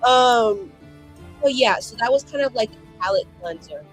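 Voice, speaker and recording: A woman talking casually and haltingly as a player in a tabletop game. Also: on the high side, lively intonation, soft background music, rough recording. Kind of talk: casual improvised game chat.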